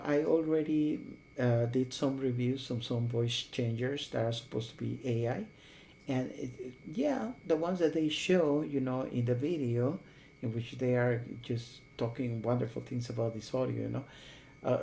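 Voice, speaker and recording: Male reviewer, casual and rambling, creaky mellow voice over faint hum. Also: medium pitch, steady tone, a little slow. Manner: rambling, repeatedly checks with "okay?" and "you know". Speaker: software reviewer. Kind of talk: casual unscripted review talk.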